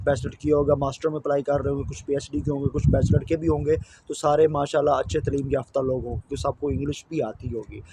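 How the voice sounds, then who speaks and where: A young man from Pakistan, speaking with a Pakistani Punjabi accent, gives friendly, repetitive advice straight to his viewers. His voice sits a little high for a man. He is recording out on a residential street, with faint outdoor noise in the background. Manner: repetitive, reassuring, brotherly address to viewers. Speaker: vlogger. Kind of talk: casual advice vlog.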